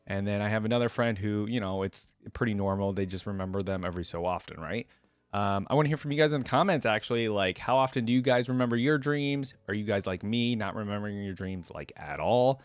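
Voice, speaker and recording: A man's voice: lowish voice, no room sound. A young man hosting a reaction video, reading story text aloud and commenting in an informal, musing way.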